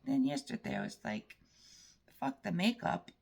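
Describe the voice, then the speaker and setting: A woman from Canada with an Eastern European-sounding accent, telling a story in a rambling, halting way with many restarts. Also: fairly high pitch, big pitch swings, vocal fry, a little mellow, slightly rough. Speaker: vlogger. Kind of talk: casual storytelling monologue.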